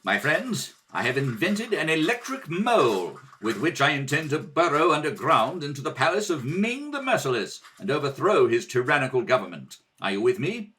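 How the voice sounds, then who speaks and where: A man's voice: a little high, sing-song, normal speed, hardly any pauses, sharp tone. A man playing a stern, suspicious rebel prince with an imperious manner, in old radio serial style.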